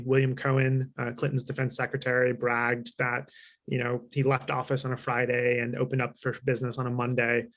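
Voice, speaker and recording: A man giving measured, articulate, opinionated answers as a guest author and consultant in an online talk. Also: medium pitch, a bit bright. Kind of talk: explanatory interview answers at a virtual talk.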